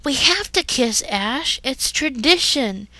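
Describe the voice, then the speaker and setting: A woman's voice: high voice, lively intonation, slow pace, bright, forceful tone, dry recording, pitched above the performer's own voice, exaggerated voice. A woman voicing a feisty, stubborn teenage girl in a fan story reading. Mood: insistent.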